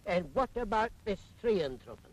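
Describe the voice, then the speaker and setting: A sceptical working man grumbles bluntly in a fairly high, very animated voice. His sardonic delivery is that of 1940s public information film acting. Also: moderate pace, low-quality recording.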